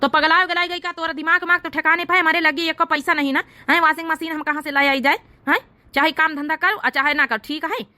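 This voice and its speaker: A woman puts on an exasperated village husband from a cartoon comedy, high-pitched and sharp in tone. The figure scolds, argues and grumbles in an Awadhi accent, recorded close and dry. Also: steady tone, quick pace, dry recording. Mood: exasperated, scolding. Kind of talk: exaggerated cartoon comedy dialogue.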